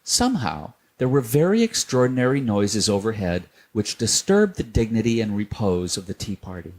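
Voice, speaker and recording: A man reading a children's storybook aloud, gentle and unhurried. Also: on the low side, big pitch swings.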